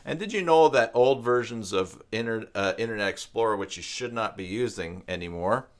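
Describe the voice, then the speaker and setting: A man with a Canadian accent hosting a casual live tutorial, thinking aloud in a halting, musing way. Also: fairly low pitch, lively intonation. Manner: halting, musing, reads aloud and mutters to himself. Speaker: live stream host, web tutorial presenter.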